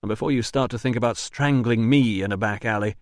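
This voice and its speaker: A man's voice: fairly low pitch, a little fast, no echo, studio quality, close to an everyday voice. A man doing a cool, sardonic investigator. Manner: level, sardonic. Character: calm, dry-witted male investigator. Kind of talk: audiobook dialogue.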